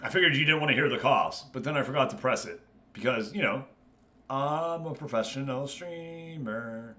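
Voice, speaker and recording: A man's voice: medium pitch, normal speed, sharp tone, a little hiss or hum. A man hosting a game livestream, chatting casually with viewers and commentating on matches in an informal way.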